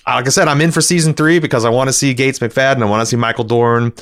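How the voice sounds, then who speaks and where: Male podcast co-host, mid-range voice, opinionated and casually profane, loose halting phrasing. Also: medium pitch, fairly even intonation, fairly bright tone.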